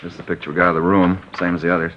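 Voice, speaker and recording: A laconic crime lab technician, played by a man in an old radio drama. His voice is deep and he talks quickly in a matter-of-fact way. The broadcast sounds dull, with faint hiss. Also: level tone, natural voice. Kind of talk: 1950s radio drama acting.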